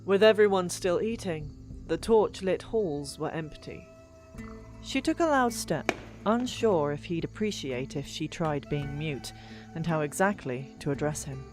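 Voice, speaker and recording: A woman narrating a fantasy audiobook in an expressive, deliberate storytelling manner. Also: low-pitched. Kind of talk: dramatic scripted fiction narration. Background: background music.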